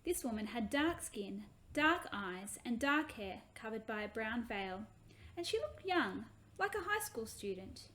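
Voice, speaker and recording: A woman reading her own children's book aloud in an expressive, animated way. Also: fairly high pitch, measured pace.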